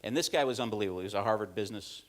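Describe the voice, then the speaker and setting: Low-voiced man giving a conference talk. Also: rapid-fire. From upstate New York. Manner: self-deprecating, anecdotal, frequent fillers and restarts. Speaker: corporate learning speaker. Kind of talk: conference presentation. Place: conference room.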